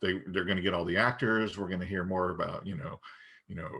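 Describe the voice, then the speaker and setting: A man's voice: deep voice, fairly even intonation, quick pace, sharp tone, breathy edge. A man co-hosting a podcast in casual, fan-to-fan conversation. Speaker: podcast co-host.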